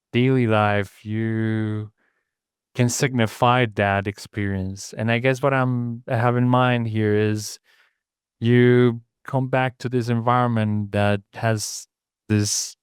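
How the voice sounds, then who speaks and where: A man's voice: mid-range voice, clear, resonant tone, no echo, studio quality. A man hosting an interview, asking long questions eagerly and repeating words as he forms them. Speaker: podcast host interviewer.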